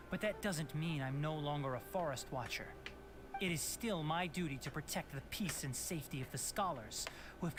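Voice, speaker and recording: Expressive, firm young scholar-guardian played by a man. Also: a little high, close to an everyday voice. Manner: articulate, firm, pointed. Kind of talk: scripted video game voice acting.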